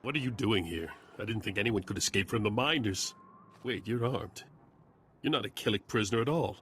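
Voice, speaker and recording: A man's voice: on the low side, brisk, fairly bright tone, a little hiss or hum, natural voice. A man playing a wary, determined rescuer of captives in a video game, intent and decisive. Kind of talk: scripted video game dialogue.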